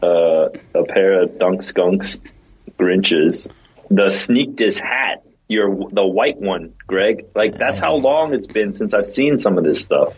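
Deep-voiced male co-host, husky and conversational, heard over muffled lo-fi sound. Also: lively intonation, takes it slowly. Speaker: podcast co-host. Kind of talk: casual chat.

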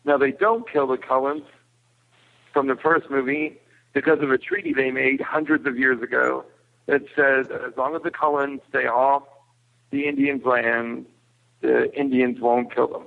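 A man giving a casual film recap as a podcast guest, explaining in a halting way. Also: medium pitch, gravelly. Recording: tinny phone-like line.

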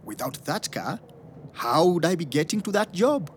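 A woman's voice: on the low side, lively intonation, moderate pace, slight background noise, lightly acted. A woman playing a frustrated factory worker in a radio play, who speaks in an earnest voice and rallies others with chant-like calls. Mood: complaining. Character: frustrated city factory worker rallying people.

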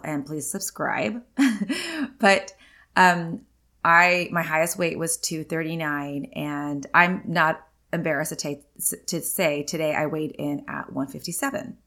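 A woman's voice: low voice, a bit bright. A woman in her forties hosting her own podcast, talking candidly in a chatty, rambling way with many ums and likes. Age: 40s. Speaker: podcast host. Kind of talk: casual solo podcast chat.

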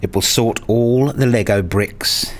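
A man's voice: fairly low pitch, measured pace, natural voice. A man playing a self-deprecating, nerdy father who tells his own story in a radio play in wry, formal wording. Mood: reassuring. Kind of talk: first-person radio play narration.

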